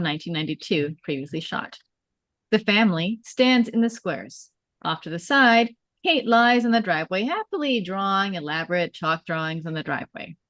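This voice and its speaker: Casting: female stage-directions narrator, lowish voice, clipped storytelling phrases, close dry recording. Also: fairly bright tone, no echo. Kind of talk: scripted narration of screenplay directions.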